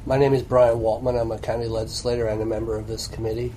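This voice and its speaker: Low-voiced man in his sixties, fast, stumbling restarts, noisy room. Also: flat delivery. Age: 60s. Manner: quick, restarts often. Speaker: county legislator. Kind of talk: meeting chat. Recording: noisy meeting room audio.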